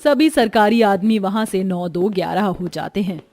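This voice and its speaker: A woman narrating a scripted animated moral story as its storyteller. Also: medium pitch, moderate pace, no room sound, clean studio sound, faint music behind.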